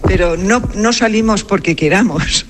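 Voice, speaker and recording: A woman's voice: medium pitch, moderate pace. A woman, a cloistered nun, answering interview questions in a firm, plain-spoken manner. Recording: clear background noise.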